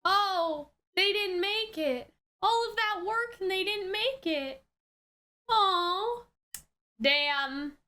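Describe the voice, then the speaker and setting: A woman giving casual, excited reaction commentary as a video host, expressive and slangy in the way she talks. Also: very high-pitched, very slow. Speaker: host of an anime reaction channel.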